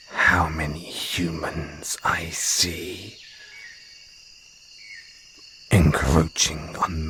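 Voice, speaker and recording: A man acting a territorial male naga in an intimate audio roleplay, speaking in a hushed, deliberate, breathy voice with long pauses. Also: very deep voice, a little hiss or hum, full character voice. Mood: suspicious, guarded. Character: wary, territorial male naga, softening into flirtatious care. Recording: jungle insect ambience behind voice. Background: crickets and jungle ambience.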